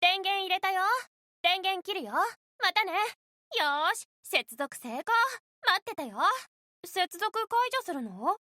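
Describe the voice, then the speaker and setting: High-pitched, lively, determined young game heroine, caring, crisp dry studio sound. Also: female voice, moderate pace, no room sound, full character voice. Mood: bright, friendly. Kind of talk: Japanese character voice acting, scripted voice prompts.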